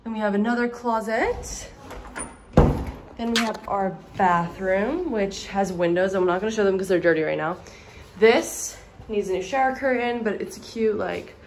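A woman's voice: mid-range voice, a little hiss or hum. A young woman vlogger chatting casually while giving a walkthrough tour of an empty house. She films on a phone held at arm's length and talks in a bubbly way, full of "like". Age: young adult. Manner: chatty, bubbly, heavy use of "like".

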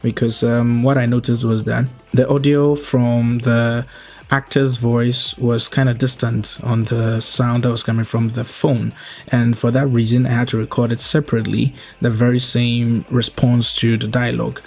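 A young adult man narrating an explanatory tutorial as its host, going through it step by step in a measured way. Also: mid-range voice, flat delivery, darkish tone. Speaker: host of a video editing tutorial. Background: background music.

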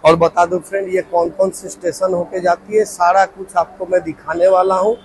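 A chatty man in his thirties walks viewers through a train, talking to them as friends with a slightly higher voice. His phone mic, held at arm's length, picks up a faint hum of station and carriage sound. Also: level tone, normal speed, low-quality recording. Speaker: travel vlogger. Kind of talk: casual travel vlog tour. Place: train platform and train coach interior.

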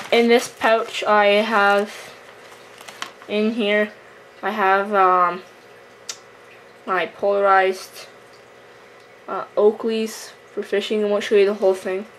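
Flat, halting young fishing vlogger, female. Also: medium pitch. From Canada. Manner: halting, casual. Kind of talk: casual product tour. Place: room at home with wooden floor. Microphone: camera microphone. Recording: noisy amateur camera recording, handling clicks.

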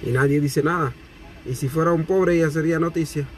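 Man in his 30s, fired-up phone rant from a parked car, slightly high voice, faint traffic behind. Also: fairly quick. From Haiti. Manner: animated, gesturing, repetitive. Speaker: vlogger. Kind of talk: indignant complaint to camera. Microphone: phone camera at arm's length.

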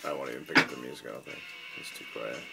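A man's voice: extremely low pitch, racing, gravelly, heavy background noise. A young man filming friends in a small ice fishing shack, joking and laughing through casual banter. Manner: joking, laughs often, wry asides.

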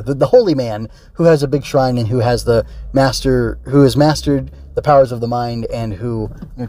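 A man's voice: medium pitch, darkish tone, a little hiss or hum. A man hosting a casual solo review podcast, rambling and thinking aloud with tentative digressions. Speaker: podcast host and film reviewer.

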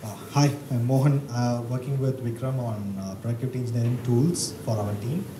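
A man's voice: mid-range voice, fairly quick. A man in his thirties presenting at a tech meetup with a handheld mic, talking hesitantly with frequent uhs and likes. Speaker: conference speaker. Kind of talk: technical meetup talk. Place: meeting room with audience. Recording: roomy hall with background noise.

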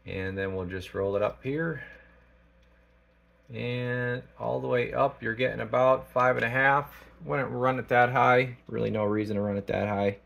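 A man giving a casual product demonstration as a technician, thinking aloud in a halting, informal way. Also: medium pitch, fairly even intonation, vocal fry, a bit bright. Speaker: radio technician. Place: small indoor electronics workshop.

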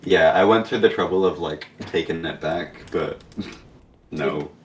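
A man's voice: low voice, measured pace, echoey room. A man bantering casually and jokingly as he co-hosts a gameplay video. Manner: joking, giggly. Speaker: gaming video co-host and player. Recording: distant mic under game music. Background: video game music.

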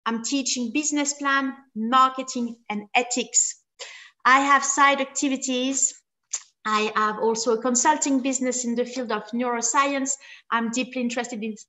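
A woman's voice: on the high side, pushed and bright. A woman in her forties from France and Switzerland with a French accent, giving a friendly, self-deprecating webinar lecture over a video call. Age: 40s. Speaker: guest lecturer. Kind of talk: webinar presentation.

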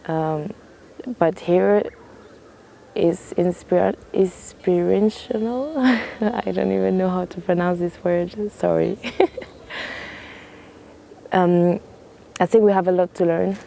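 Young woman talking slow and mellow outside, faint noise behind, thinks as she goes. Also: lowish voice, creaky. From France. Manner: thoughtful, searching for words. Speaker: interviewee. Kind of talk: reflective personal testimony. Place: outdoors in a canoe on a lake.

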